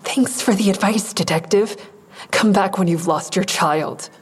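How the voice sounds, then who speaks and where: A woman playing a weary, guarded, traumatized woman in an audio drama, curt and sardonic, with a husky voice. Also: medium pitch, a little slow. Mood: cold. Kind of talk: full-cast audio drama acting.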